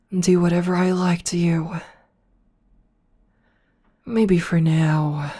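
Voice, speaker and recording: Woman voicing a vampire princess, noble, predatory, teasing, soft whispers, close mic, mellow dark tone. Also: deep voice. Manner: soft, slow and toying, slipping into whispers. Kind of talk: ASMR audio roleplay.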